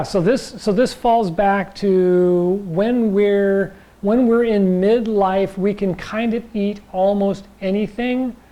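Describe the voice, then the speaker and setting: A man teaching a chi gung and tai chi class in a loose, rambling way, with a slightly raspy voice and frequent check-ins with his listeners. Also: high-pitched, mellow, rough recording. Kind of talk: casual class talk.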